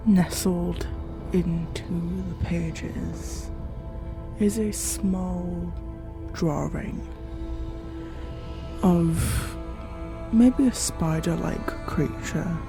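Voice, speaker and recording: A horror fiction narrator speaking in a measured, musing, slightly formal and theatrical way, addressing the listener directly. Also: mid-range voice. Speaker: narrator and host of an audio horror fiction show. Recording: music behind the voice. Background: background music.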